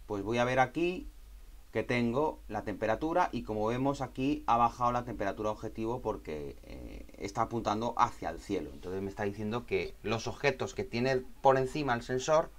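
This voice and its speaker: Guy walking you through a tech tutorial, mid-pitched voice, explains stuff casually and keeps checking in. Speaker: tutorial instructor. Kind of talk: informal spoken screen tutorial.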